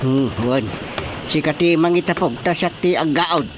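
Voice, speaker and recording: A man's voice: a little high, normal speed, background noise, lightly acted. An actor playing a weary, principled older fisherman father in a radio drama, grumbling expressively. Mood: patient, firm.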